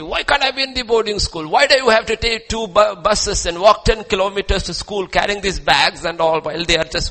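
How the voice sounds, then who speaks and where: Bright-voiced Indian preacher, forceful man. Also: a little high, rough recording. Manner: emphatic, rhetorical questions and repetition; swings from loud declamation to hushed asides. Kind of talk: sermon.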